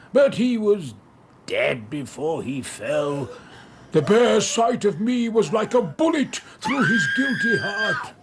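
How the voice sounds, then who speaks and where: An actor in a radio drama playing a bitter, crippled old soldier, haunted and anguished, with a rough voice. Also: male voice, sits high, noisy background. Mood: anguished, intense. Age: older. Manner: anguished, slow, emotionally fraught.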